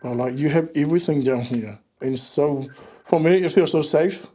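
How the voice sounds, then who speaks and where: A man from Samoa, speaking as a guest in a relaxed, plain-spoken interview chat. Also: fairly high pitch, normal speed. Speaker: events and marketing manager, guest.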